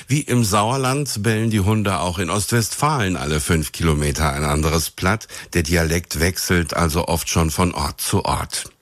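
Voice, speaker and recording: Male radio host, low dry voice. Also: no echo. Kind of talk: scripted radio narration.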